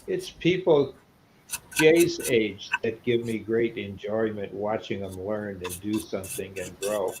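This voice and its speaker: An older man talks casually in a lowish voice, plain-spoken and given to reminiscing. There is clear noise in the recording behind him. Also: measured pace. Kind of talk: casual chat.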